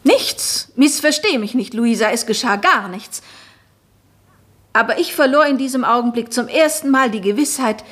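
A woman's voice: fairly high pitch, lively intonation, moderate pace, a bit bright. An anxious, pleading noblewoman. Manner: pleading, intense, confiding. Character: anxious noblewoman who believes she is someone else. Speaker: Florentine. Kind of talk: 1960s radio drama acting.

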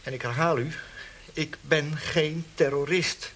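Radio play prisoner: innocent writer under questioning, high voice, halting nervous protests, slightly hissy recording. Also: male voice, steady tone, fairly bright tone. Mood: protesting. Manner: pleading, defensive, halting. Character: frightened, protesting arrested male writer, innocent humanist. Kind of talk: Dutch radio play acting.

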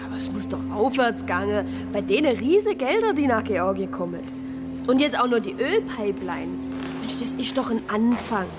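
An actress with a Swabian accent playing an earnest, modest deaconess in a radio drama, speaking in a homely way. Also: female voice, fairly high pitch, very animated, normal speed. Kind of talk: radio drama dialogue and narration. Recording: loud music under the voice.

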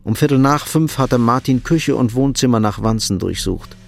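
A man reading as the measured, sober narrator of a political thriller radio drama, in scripted literary prose. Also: mid-range voice, gravelly, natural voice. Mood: tense, factual. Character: sober third-person storyteller of a political thriller.

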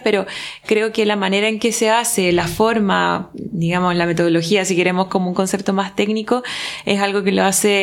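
A woman from southern Chile with a Chilean accent, speaking thoughtfully and reading her own poem aloud in a writing workshop. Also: medium pitch. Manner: thoughtful, unhurried, with pauses. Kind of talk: reflective talk and poetry reading.

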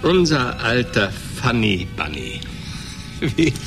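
An actor playing a boastful, hard-drinking former student tinkerer in a German radio play, colloquial and halting. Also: male voice, mid-range voice, very animated. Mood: wry, envious. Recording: 1980s radio drama with music.

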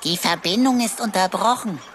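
A woman's voice: medium pitch, moderate pace, slight background noise, lightly acted. A woman playing a cheeky computer companion in a German radio play, teasing with flowery, mock-pompous phrases.

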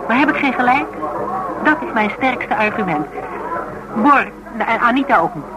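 An actress playing a sharp, scheming insurance investigator in a radio drama, teasing and talkative. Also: female voice, fairly high pitch, expressive, normal speed, loud music behind the voice, a loud crowd, lightly acted, low-quality recording. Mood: animated, reasoning.

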